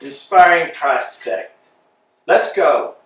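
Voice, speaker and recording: Stage tramp, sulky and plaintive, read by a man; bright, lively delivery, caught from afar in a roomy space. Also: on the high side, slow pace, exaggerated voice. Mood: weary. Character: weary male tramp Estragon, sulky and plaintive. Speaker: actor. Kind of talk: staged play reading. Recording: echoey room from far off.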